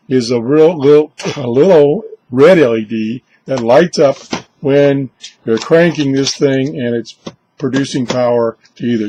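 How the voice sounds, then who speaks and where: A man's voice: mid-range voice, a little mellow, slightly rough. A man giving a casual, unscripted product review in a conversational way, musing aloud with wry asides. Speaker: product reviewer presenting a gadget.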